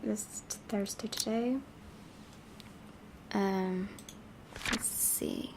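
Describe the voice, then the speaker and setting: A woman's voice: medium pitch, fairly even intonation, very slow, creaky, noisy background. A woman hosting a let's play, reading a visual novel aloud and adding casual commentary. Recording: game music behind the voice.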